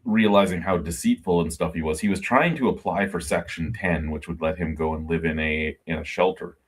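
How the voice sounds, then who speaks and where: A man in his forties, low-pitched, rambling through casual anecdotes over a video call. He swears freely and loops back on himself as he talks. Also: steady tone, fairly quick, slightly rough. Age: 40s. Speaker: podcast co-host. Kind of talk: casual chat.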